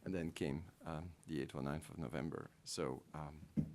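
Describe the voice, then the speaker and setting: A middle-aged man with a French-speaking Belgian accent, a trade negotiator giving halting panel remarks full of ums and restarts. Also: extremely low pitch, fairly even intonation, darkish tone.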